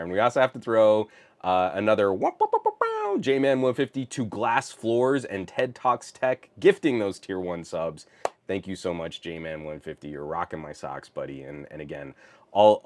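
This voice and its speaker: A man hosting a tech news podcast live, chatting casually in a rambling way and reading out the stream chat. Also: low-pitched. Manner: animated, rambling, restarts, reads chat aloud.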